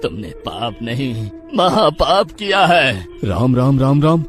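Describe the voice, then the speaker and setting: A man's voice: medium pitch, sing-song, slow. A man voicing an elderly village priest in a cartoon, exclaiming and scolding with pious alarm. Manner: exclaims in shock, scolding and fervent. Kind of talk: cartoon voice acting. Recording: background music under voice.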